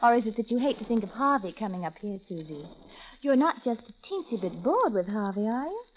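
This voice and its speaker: A catty, sugary jealous wife. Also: female voice, on the high side, very animated, a little fast. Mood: needling. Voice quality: sweetly sarcastic, cooing insults. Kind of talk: 1940s radio acting. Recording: dull old radio recording with faint hiss.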